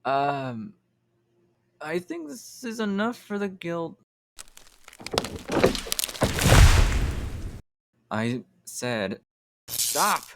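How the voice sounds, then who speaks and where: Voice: mid-range voice, big pitch swings, extremely slow, very noisy, full character voice. A voice actor playing an earnest, principled young rookie Pokemon explorer in a comic dub, hesitant but ready to protest. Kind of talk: animated comic dub acting.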